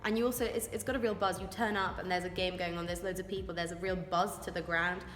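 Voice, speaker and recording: A woman's voice: lowish voice, fairly even intonation, quick pace, fairly bright tone, a little hiss or hum. A rugby player in her twenties from Wales, answering interview questions in a chatty, eager, informal way. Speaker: rugby scrum-half. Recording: echoey room with long reverb, music behind.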